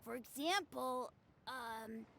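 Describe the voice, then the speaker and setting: A woman's voice: on the high side, slow, gravelly, a bit bright, a little hiss or hum, exaggerated voice. A woman voicing a cheerful, innocent little boy who plays at being a hero in a video game. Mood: chatty, pensive. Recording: music playing behind the voice. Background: game background music.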